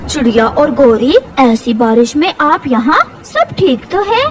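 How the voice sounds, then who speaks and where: A woman voicing a calm, polite male pigeon neighbor in a cartoon, speaking lower than her other parts. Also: measured pace, a crowd in the background, rough recording. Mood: concerned.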